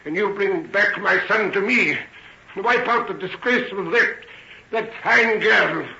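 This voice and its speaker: Embittered old man, proud and agitated, high creaky voice, halting delivery, dull vintage radio recording. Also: bright, forceful tone, reverberant, heavily acted. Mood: bitter, agitated. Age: older. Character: embittered, frail, proud old farmer father. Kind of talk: old-time radio drama acting.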